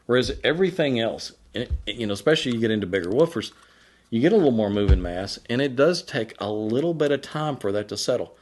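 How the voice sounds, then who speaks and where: A man speaking as a loudspeaker designer, explaining his products in an informal, colloquial and enthusiastic way. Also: medium pitch, animated, fast. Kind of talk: informal product presentation to camera.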